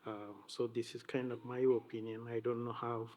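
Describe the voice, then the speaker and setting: A man's voice: mid-range voice, little pitch movement, normal speed, a little mellow, slight background noise. A man speaking as a panelist, hesitant and searching for words. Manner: hesitant, many fillers and restarts. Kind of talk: panel discussion.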